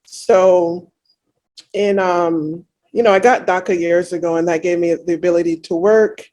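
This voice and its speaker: A woman's voice: fairly low pitch, steady tone, a little slow, darkish tone. A woman in her thirties from Jamaica, a guest in a casual interview, talking reflectively with many "you know" fillers.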